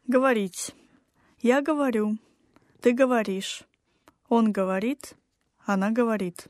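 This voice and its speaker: A woman reading a textbook language lesson aloud, slowly and with careful, clear enunciation. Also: on the high side, darkish tone, dry recording, clean studio sound. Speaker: language course reader.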